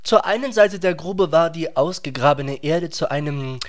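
A man's voice: fairly high pitch, normal speed. A man narrating a literary radio play in a dramatised storytelling style.

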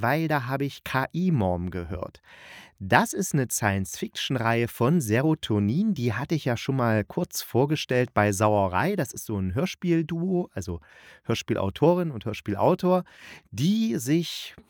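A man's voice: mid-range voice, no echo, clean studio sound. A man talking casually and colloquially as a podcast host reviewing audio dramas, with a tongue-in-cheek way of putting things. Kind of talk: casual chatty review monologue.

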